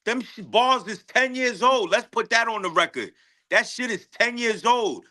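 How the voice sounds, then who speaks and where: High, bright-voiced New York man, boastful. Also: animated, normal speed. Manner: slangy, boastful, emphatic. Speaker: guest rapper. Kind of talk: excited casual interview chat. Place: radio show studio.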